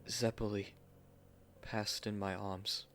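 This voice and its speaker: A man playing an earnest, tender gentleman newlywed in a romantic audio drama, soft-spoken and hesitant, with a mournful sincerity. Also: on the low side, little pitch movement, slow, soft background music, exaggerated voice. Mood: solemn grief.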